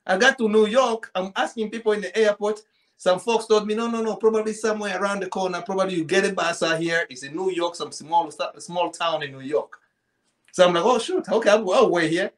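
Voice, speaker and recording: A high-voiced man with a Central African accent tells a personal story in a casual, conversational way. He often repeats phrases and slips into reported dialogue as he goes. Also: a little fast. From Congo. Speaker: podcast guest, poet. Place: small indoor room at home, video call.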